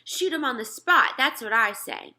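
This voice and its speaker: A woman's voice: on the high side, animated, moderate pace, pushed and bright, voice pushed up. A woman voicing an indignant male army general who complains in an exasperated, blustering way in a children's storybook. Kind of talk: children's storybook read-aloud.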